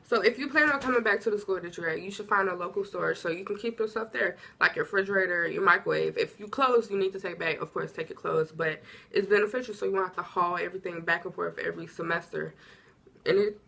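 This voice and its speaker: A woman's voice: lowish voice, fast, gravelly, sharp tone. A young woman from Illinois vlogging casual advice, talking informally and drifting off topic, her voice a little stuffy from congestion. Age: young adult. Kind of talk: casual advice talk to camera.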